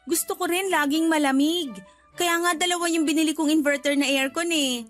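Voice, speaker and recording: A woman's voice: high voice, fairly even intonation, fairly quick, a bit bright, soft background music. A woman acting a generous, unwittingly boastful neighbour in a Tagalog radio drama, chatty and accommodating, with a Filipino accent and English words mixed in. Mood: friendly.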